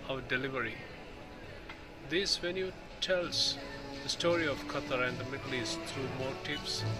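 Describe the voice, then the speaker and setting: A man filming in an indoor exhibition hall, reading the display panels aloud slowly, pausing between phrases and restarting when he slips. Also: mid-range voice. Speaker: video host touring an exhibition. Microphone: handheld phone camera. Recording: loud music in the hall. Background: music playing.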